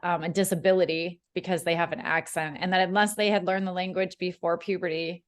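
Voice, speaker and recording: A woman's voice: lowish voice, flat delivery, fairly quick. A woman who teaches ESOL, talking in a chatty way on a video call and stumbling over and repeating words. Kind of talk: casual discussion on a video call.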